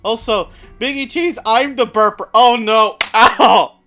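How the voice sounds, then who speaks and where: A man hosting a live tabletop game stream, cursing loudly and excitedly as he chats with players. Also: very high voice, measured pace, soft background music. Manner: loud, swearing, excitable. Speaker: game master and stream host. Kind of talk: casual banter on a live stream.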